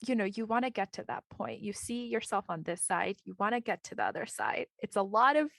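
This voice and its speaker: A woman hosting a podcast who asks gentle, affirming questions. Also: medium pitch, expressive, brisk, vocal fry, dry recording, clean studio sound. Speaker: podcast host. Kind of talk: interview questions.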